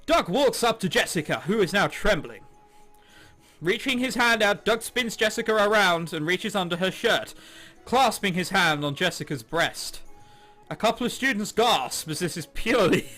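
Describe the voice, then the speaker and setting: A man's voice: high voice, bright, forceful tone. A man reading a visual novel aloud as a gaming host, with amused, sarcastic, sweary asides, over game soundtrack music. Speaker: host of a gaming video series.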